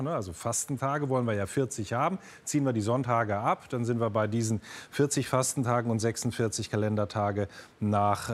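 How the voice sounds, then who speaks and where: A man hosting a quiz show, explaining answers in an unscripted way. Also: medium pitch.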